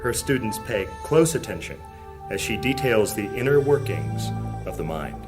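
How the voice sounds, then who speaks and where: A man narrating a high fantasy audio drama as a solemn, measured storyteller. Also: sing-song, over music.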